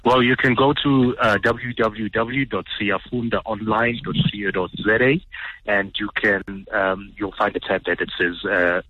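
A man's voice: lowish voice, steady tone, a little slow, lo-fi sound. A South African man answering as an interview guest in a hesitant, explanatory conversational way. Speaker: guest, writer and illustrator.

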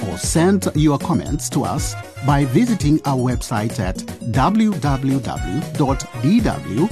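A man's voice: mid-range voice, sing-song, takes it slowly. A man narrating a radio drama from a script. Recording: music under the voice. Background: music.

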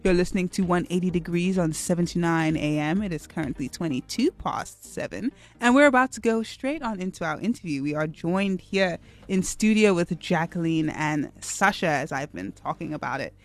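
A woman's voice: fairly low pitch, animated, moderate pace, few pauses. A woman hosting a morning radio show, doing upbeat, chatty links between segments in the studio. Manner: upbeat, chatty link patter. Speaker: radio host.

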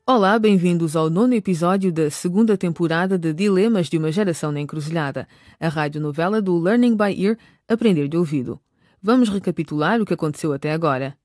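A woman's voice: lowish voice, quick pace, darkish tone, dry recording, studio quality. A woman narrating a radio drama from a script.

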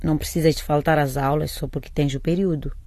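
A woman's voice: deep voice, steady tone, fast, warm tone, no room sound, studio quality. An actress playing a caring, anxious mother in a radio drama, coaxing and questioning. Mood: gentle, concerned.

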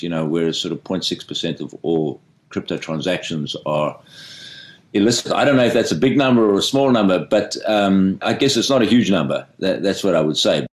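Deep-voiced male interviewer. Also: lively intonation, a little fast. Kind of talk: interview questions and comment.

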